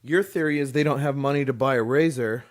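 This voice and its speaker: A man's voice: mid-range voice, brisk. Male podcast co-host, casual and teasing, mid-range voice, lots of restarts. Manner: joking, teasing, restarts a lot. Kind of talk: casual banter.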